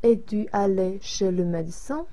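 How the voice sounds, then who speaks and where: A concerned young woman checks on her friends, as a woman reads her lines. The voice is unhurried, soft and mellow, with a slightly breathy edge, in the plain manner of a recorded lesson dialogue. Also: medium pitch, close to an everyday voice.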